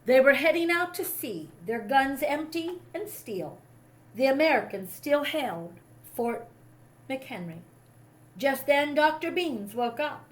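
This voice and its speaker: High-voiced woman reading a story aloud. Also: lively intonation, slow pace, poor audio. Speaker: storybook reader. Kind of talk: expressive read-aloud of a children's history story.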